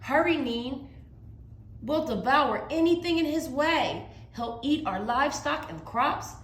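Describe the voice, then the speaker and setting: A woman's voice: sits high, lively intonation, a little slow, fairly bright tone, pitched above the performer's own voice. A woman voicing an alarmed village mother in a storybook, warning her child with urgent emphasis. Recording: roomy echo, faint background noise.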